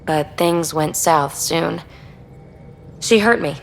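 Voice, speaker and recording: A woman's voice: sits low, slow pace, gravelly, a little hiss or hum, exaggerated voice. A woman playing a wry, flirty crew member of a space expedition in a video game, with a breezy, conversational delivery. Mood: reflective, guarded. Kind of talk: video game dialogue acting.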